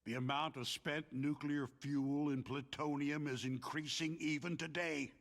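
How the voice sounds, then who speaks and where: A weary, gravelly arms executive. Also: male voice, on the high side, level tone, a little slow. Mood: grave, lecturing. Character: weary male arms-industry boss, tortured, stammering, cynical, self-justifying. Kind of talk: video game cutscene voice acting.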